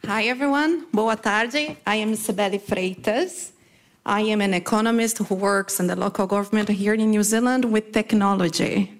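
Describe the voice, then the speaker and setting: A woman from Brazil with a Brazilian accent, a native Portuguese speaker, giving an informal talk at a community event, halting, with many fillers and restarts. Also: mid-range voice, steady tone, slight background noise.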